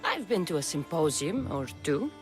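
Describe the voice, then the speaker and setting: A woman's voice: lowish voice, sing-song, moderate pace, faint music behind. A woman voicing a blunt, determined young Spartan mercenary in dramatic video game acting. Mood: blunt, wry. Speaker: actor voicing a game character.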